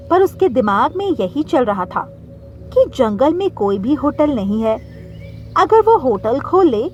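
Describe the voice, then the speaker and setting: High, lively woman storyteller. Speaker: narrator. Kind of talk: scripted cartoon story narration.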